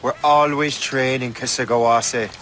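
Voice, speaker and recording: An actor playing a cocky, breezy young sailor-assassin in a video game. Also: male voice, medium pitch, steady tone, measured pace, a bit bright, a little hiss or hum, lightly acted. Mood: cheeky. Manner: breezy, cheeky. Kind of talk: video game cutscene acting.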